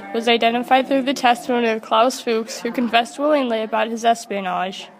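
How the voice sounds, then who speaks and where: A woman narrates from a prepared script in the manner of a student presenting a project. Her voice is a little higher than average for a woman. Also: creaky. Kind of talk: scripted narration, read aloud.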